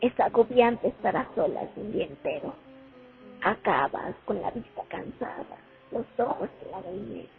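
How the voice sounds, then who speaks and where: A woman's voice: a little high, lively intonation, measured pace, gravelly, faint music behind, heavily acted. A woman playing a young Soviet sniper haunted by her war memories in a radio play. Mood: weary, reflective. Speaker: actor in a student radio drama. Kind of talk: dramatic first-person monologue, radio play. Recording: muffled phone-like recording.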